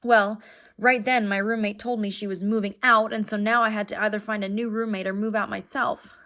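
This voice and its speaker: A woman's voice: medium pitch, flat delivery, a little fast, a bit bright, close to an everyday voice. A woman playing an anxious young cartoon passenger who overshares in a rambling, candid, self-deprecating, naturalistic way. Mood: candid, rueful. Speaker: passenger in an animated series. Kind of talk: naturalistic confessional conversation.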